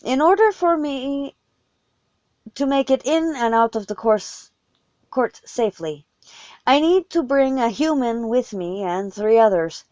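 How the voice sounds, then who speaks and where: A woman's voice: a little high, expressive, unhurried, a little mellow, no echo, dull audio, pitched above the performer's own voice. Woman reading as formal, commanding male vampire leader. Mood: grave, resolute.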